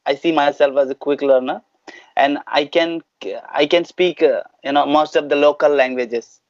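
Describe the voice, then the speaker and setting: A man's voice: on the high side, fairly even intonation, normal speed, no echo, muffled recording. An Indian man with a Gujarati accent chats on a video call, hesitant and full of "you know" fillers. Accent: Indian English, Gujarati. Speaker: auto rickshaw driver, channel host. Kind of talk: casual video call conversation.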